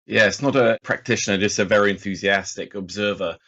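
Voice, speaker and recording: A man's voice: fairly low pitch, brisk, pushed and bright, lo-fi sound. A man in his forties from Norway telling his story casually as an interview guest, enthusiastic and self-deprecating. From Oslo, Norway. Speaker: podcast guest, financial journalist.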